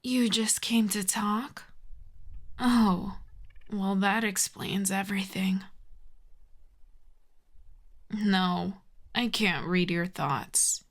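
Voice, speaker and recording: A woman performs a telepathic villainess in an intimate audio roleplay. She is smug, sardonic and menacing, taking her time over every taunt in a drawn-out delivery. Her breathy voice often sinks toward a whisper, with a medium pitch for a woman. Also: steady tone. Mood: coolly menacing. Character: smug telepathic female villain, mocking, menacing, secretly intrigued. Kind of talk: ASMR audio roleplay monologue.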